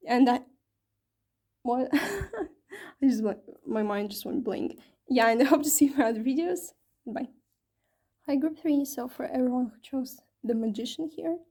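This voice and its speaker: Woman, tarot reader, casual chat, fairly high voice, soft mellow tone, lots of fillers, slightly strained voice. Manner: chatty, with many fillers and self-corrections. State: slightly strained voice from talking long. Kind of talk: casual tarot reading to camera.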